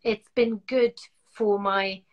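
A woman's voice: medium pitch, steady tone, takes it slowly, muffled recording. A woman whose first language is Norwegian, a guest in a video-call interview, answering thoughtfully with light laughs. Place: home room. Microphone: video call webcam.

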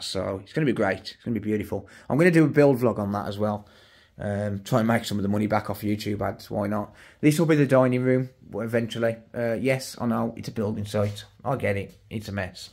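Chatty man with a West Midlands accent. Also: mid-range voice. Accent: West Midlands English. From West Midlands, England. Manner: chatty, rambling, self-correcting with many fillers. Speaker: electronics repair YouTuber. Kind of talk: casual walkthrough vlog. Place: unfinished house under renovation, indoors and garden. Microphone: handheld phone camera, filming as he walks.

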